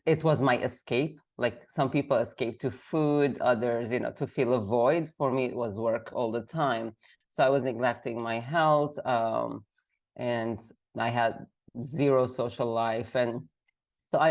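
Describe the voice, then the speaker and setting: A woman from Lebanon, a guest on a video call interview, talking casually and hesitantly with many fillers while sick. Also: rumbling low. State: sick, voice affected.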